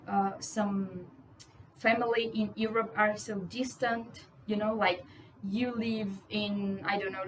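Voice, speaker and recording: A woman's voice: mid-range voice, a little hiss or hum, poor audio. A young woman from Brazil whose first language is Portuguese talks casually to camera in English with a Brazilian accent, outspoken and swearing for emphasis, with many "you know"s. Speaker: vlogger.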